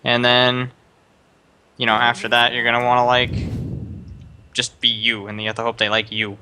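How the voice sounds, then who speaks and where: A man's voice: mid-range voice, completely flat delivery, moderate pace, sharp tone, slight background noise. A young man streaming live, casually giving another streamer rambling advice with lots of fillers. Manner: rambling, many fillers and restarts, advising.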